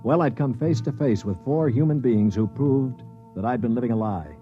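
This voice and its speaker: An actor playing a cynical, weak-handed captive aboard a spaceship in a 1950s radio drama, with dramatic delivery. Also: male voice, mid-range voice, moderate pace, natural voice. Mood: reflective. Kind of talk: 1950s radio drama acting with first-person narration. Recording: muffled 1950s radio recording with music.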